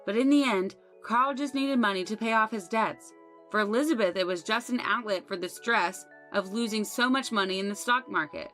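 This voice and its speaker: A woman's voice: mid-range voice, moderate pace, a bit bright, faint music behind, pitched above the performer's own voice, lightly acted. Woman acting a wronged, resolute daughter-in-law. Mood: bitter, accusing. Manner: emphatic, aggrieved.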